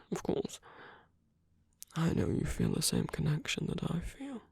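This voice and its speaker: Male actor as suave villain for ASMR romance: very husky and breathy, gravelly fry, intimate, teasing, contemptuous. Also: on the high side, darkish tone, dry recording, clean studio sound, heavily acted. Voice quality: breathy, husky, often near whisper. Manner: slow, deliberate, intimate, teasing.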